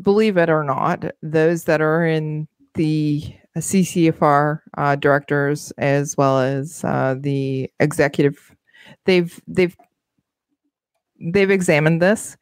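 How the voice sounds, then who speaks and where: A woman's voice: low voice, fairly even intonation, slow, smooth, dry recording, clean studio sound. A woman with a Canadian accent co-hosting a casual panel chat, speaking in a blunt, emphatic and colloquial way. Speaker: podcast co-host.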